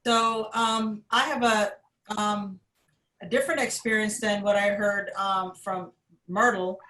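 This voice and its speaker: A woman from Jamaica with a Jamaican accent, speaking on a panel and pausing often with ums. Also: mid-range voice, fairly even intonation, bright, forceful tone. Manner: hesitant, many ums. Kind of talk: panel discussion. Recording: roomy echo.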